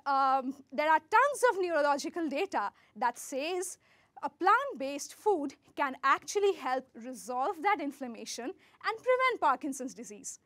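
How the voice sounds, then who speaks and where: A woman's voice: high-pitched, a bit bright, no room sound. A woman giving a rehearsed research talk as a graduate student presenter, earnest and speaking straight to the listener.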